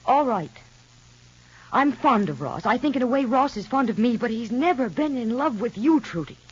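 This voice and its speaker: Radio soap older sister: firm, pleading, slightly husky, on a muffled vintage recording. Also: female voice, a little high, expressive, normal speed, darkish tone. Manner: emphatic, pleading, insistent. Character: earnest, firm older sister lecturing her sibling. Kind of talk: old radio soap opera acting.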